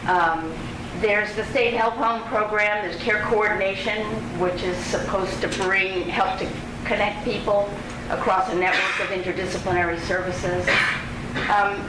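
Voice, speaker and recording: Measured woman moderator, distant and echoey. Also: mid-range voice, fairly even intonation. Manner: measured, lists options before posing a question. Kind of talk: panel discussion. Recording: distant mic in an echoey noisy room.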